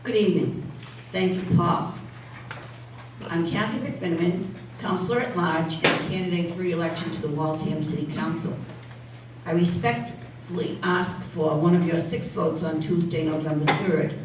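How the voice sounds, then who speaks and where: An older woman giving a formal, measured prepared candidate speech at a public forum. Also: on the low side, fairly even intonation. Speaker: city councilor seeking re-election. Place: large indoor public forum room. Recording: echoey hall, distant mic, faint noise.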